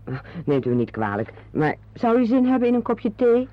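Courteous, obliging hostess for radio play; animated intonation, dull vintage recording. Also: female voice, on the high side, moderate pace. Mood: concerned, courteous. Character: friendly, deferential, hospitable host serving tea. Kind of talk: radio drama acting.

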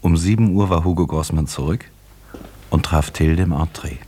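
Roomy, low-voiced male radio play narrator. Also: moderate pace, dark tone. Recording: roomy, faintly noisy radio recording.

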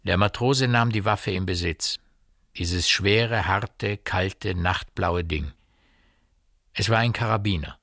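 A man narrating a radio play in a measured, precise literary style. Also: sits low, creaky, a bit bright, no echo, studio quality.

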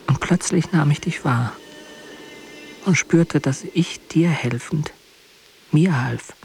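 An actor playing a tender, wounded lover in a poetic radio drama, speaking in hushed, intimate, halting phrases. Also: male voice, fairly high pitch, vocal fry, a little hiss or hum. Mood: tender, melancholy. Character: tender, wounded lover addressing his beloved Sarah.

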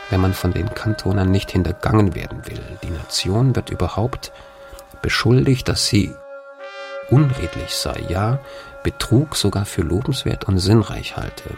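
An actor voicing an earnest old-time European traveller, reading a formal account in measured, emphatic, archaic diction for a radio play. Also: male voice, lowish voice, expressive, creaky. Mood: earnest, disapproving. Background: music behind the voice.

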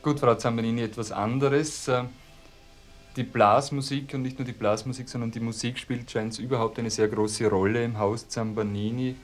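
A man's voice: medium pitch, steady tone, moderate pace, natural voice. An actor playing a polite, probing male reporter who puts slightly hesitant questions in a documentary-style radio drama. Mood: polite, curious. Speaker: interviewer. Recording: music playing in the room behind. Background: classical music on a radio.

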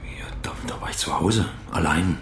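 Curt, low-voiced man in roomy sound. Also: moderate pace, whispered. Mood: defensive, curt. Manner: curt, defensive, dry. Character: brusque male author under suspicion of murder. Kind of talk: naturalistic German radio drama acting.

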